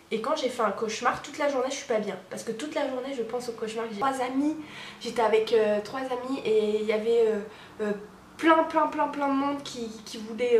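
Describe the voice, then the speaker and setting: A woman's voice: fairly high pitch, roomy sound, a little hiss or hum. A young woman vlogging in a casual, chatty way, stuffy from a cold, with many fillers and restarts. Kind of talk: casual daily vlog chat.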